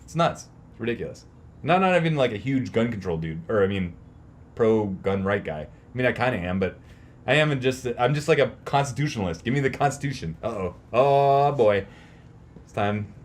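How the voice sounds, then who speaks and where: A man's voice: medium pitch, expressive, normal speed. A man co-hosting a casual podcast, talking in an emphatic, slangy, opinionated way with some swearing. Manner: profane, emphatic slangy ranting. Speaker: podcast co-host; teacher.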